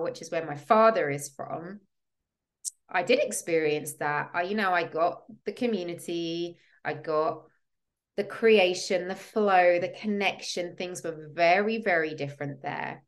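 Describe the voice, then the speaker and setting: A woman in her thirties from the UK, hosting a podcast and telling her personal story in an earnest, reflective way. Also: low-pitched. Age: 30s. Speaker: podcast host, women's coach.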